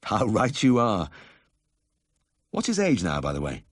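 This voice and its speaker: A man's voice: lowish voice, wildly swooping pitch, unhurried, breathy edge, no room sound, studio quality, pitched above the performer's own voice, exaggerated voice. A man voicing a kindly, careful country vet who explains things politely in a story reading. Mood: polite, sympathetic. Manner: courteous, explanatory.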